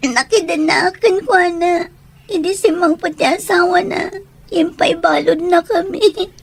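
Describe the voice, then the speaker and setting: A woman's voice: very high-pitched, measured pace. A woman playing a sincere, wounded young daughter with a Filipino accent in an Ilocano radio drama. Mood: tearful, pleading.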